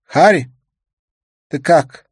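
A man's voice: on the high side, extremely slow, husky, dry recording, studio quality, voice pushed up, exaggerated voice. A man voicing a weary, caring woman in an audiobook, speaking with pleading concern. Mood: tired concern. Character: weary, caring woman comforting a troubled friend.

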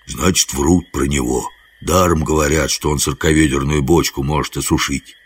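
A man voicing a rough, wily, hearty warrior from a folk fantasy audiobook, with rustic, coaxing colloquial speech. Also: fairly low pitch, expressive, measured pace. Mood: grumbling.

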